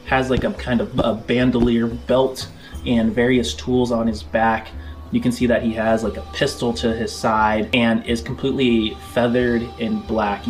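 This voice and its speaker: A man narrating a tabletop space game as game master, improvising scene descriptions in halting, informal phrases. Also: mid-range voice. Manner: halting descriptions padded with "like" and "kind of".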